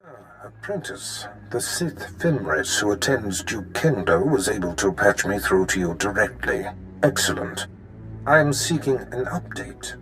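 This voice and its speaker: Deep, imperious Sith master. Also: male voice, animated, fairly bright tone, faint music behind, low-quality recording. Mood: measured, approving. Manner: measured, formal, imperious. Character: menacing Sith master, calculating, imperious older male villain. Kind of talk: cinematic game voice acting.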